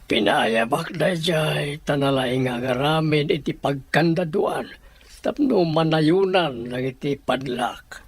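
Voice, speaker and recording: A man acting a firm, aggrieved male boss in an Ilocano radio-style drama, with a husky voice. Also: on the high side, slow, dry recording, heavily acted. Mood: firm, businesslike.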